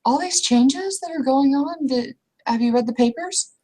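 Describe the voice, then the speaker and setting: A woman playing an anxious young female restaurant manager in a roleplay, speaking in a hesitant, halting way. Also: high voice, warm tone, pitched above the performer's own voice, natural voice. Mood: stressed, worried. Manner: hesitant, halting, fragmented speech.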